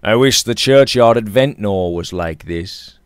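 A man voicing a gloomy, brooding young Victorian gentleman in a sombre manner for a novel reading. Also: lowish voice, unhurried, clean tone, no room sound, studio quality, close to an everyday voice. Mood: morbid.

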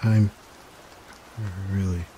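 A man playing a timid, gentle young catboy in an ASMR roleplay, speaking hesitantly with stammers. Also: sits low, drawn-out words. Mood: relieved, apologetic. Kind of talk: soft-spoken ASMR roleplay. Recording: steady rain noise behind voice. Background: rain.

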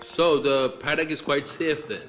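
An actor playing a genial, eager and wealthy park owner in an animated game cutscene. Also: male voice, on the high side, a little slow. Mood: concerned. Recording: loud music behind the voice.

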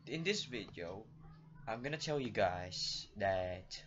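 Slow rambling Filipino-accented teen guy. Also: on the low side, expressive, a bit bright, dull audio. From Philippines. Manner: rambling, halting, many fillers and restarts. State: feeling unwell, voice off. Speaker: vlogger. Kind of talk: casual talk to camera.

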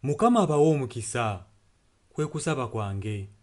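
A man's voice: medium pitch, sing-song, normal speed. A Ugandan man interpreting a sermon earnestly, phrase by phrase. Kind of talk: consecutive sermon translation. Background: music.

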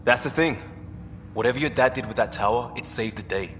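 An actor playing an easygoing, supportive crewmate in a space video game. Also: male voice, medium pitch, brisk, fairly bright tone, a little hiss or hum, natural voice. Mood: gentle, reassuring. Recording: echoey with faint ambience.

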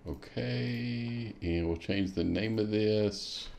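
Gravelly low-voiced man musing. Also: slow pace, darkish tone. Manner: thinking aloud, musing with hmms while clicking. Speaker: kayak fishing show host. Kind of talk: screen-share tutorial walkthrough.

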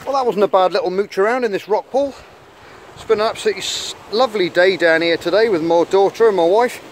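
A higher-voiced, middle-aged man chats enthusiastically while showing small sea creatures at a rock pool. He talks casually into a hand-held camera outdoors. Faint wind and water noise sit behind his voice. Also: quick pace. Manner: chatty and enthusiastic, quietly talking while handling creatures. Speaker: channel host. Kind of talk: casual nature vlog narration. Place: rocky beach rock pools outdoors. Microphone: camera held in hand at the shore.

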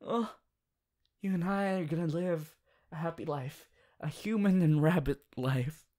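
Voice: mid-range voice, a little slow, dark tone, lightly acted. A performer playing a playful, anxious young male rabbit hero boyfriend in an ASMR audio roleplay, with a hesitant, giggly manner. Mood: cheerful.

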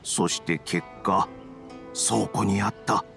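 A man's voice: deep voice, steady tone, slow, gravelly, fairly bright tone, faint music behind, exaggerated voice. A man playing a nervous, deferential publishing editor in an anime game, speaking formally and apologetically. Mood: grave, explaining.

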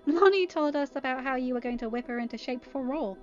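Woman acting impatient teen girl, sarcastic, high-pitched, storybook character, dull audio. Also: fast talker, soft background music, natural voice. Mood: teasing.

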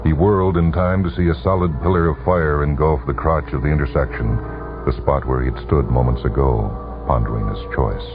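Super low male narrator, slow-burn suspense radio story, thin old broadcast sound w music under it. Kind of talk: dramatic radio short-story reading. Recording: tinny, band-limited vintage radio broadcast.

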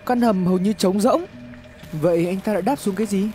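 A man's voice: sits high, a little fast, warm tone. A man reads scripted horror story narration in a dramatic, suspenseful storytelling manner. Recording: music behind the voice. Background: background music.

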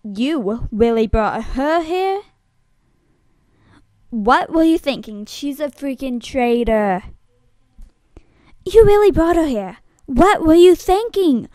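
A woman's voice: on the high side, animated, takes it slowly, long pauses, a little mellow, dry recording, clean studio sound. A woman acting a scornful, hot-tempered young woman in an amateur audition for a drama series, accusing and emphatic.

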